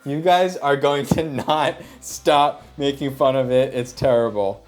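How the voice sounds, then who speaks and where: A man's voice: medium pitch, steady tone, unhurried, faint music behind. A man in casual chat with his co-hosts, talking in a self-deprecating way. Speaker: show host.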